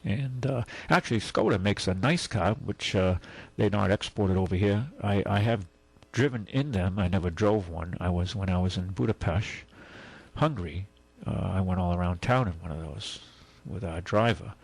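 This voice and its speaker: Male radio host, deep and gravelly, husky tone, rambling with lots of fillers. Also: normal speed, darkish tone, rough recording. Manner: rambling, many fillers and restarts. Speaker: radio car show host. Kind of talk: casual radio talk.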